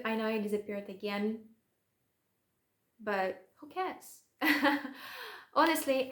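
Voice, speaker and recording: Chatty Russian woman in an echoey room. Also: a little high. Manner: chatty, self-mocking, many "um" fillers. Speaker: vlogger. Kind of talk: casual talk to camera.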